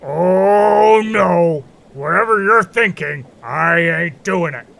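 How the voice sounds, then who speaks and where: A man's voice: high voice, expressive, slow, vocal fry, fairly bright tone, a little hiss or hum, heavily acted. A man playing a folksy, laid-back but grumbling keeper of a magical marsh in an amateur audio drama.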